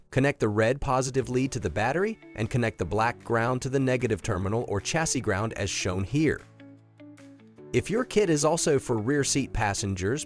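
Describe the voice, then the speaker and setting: A man with a medium-pitched voice narrates a scripted how-to guide. He moves through each step clearly and methodically, like a product installation narrator. Music plays faintly behind him.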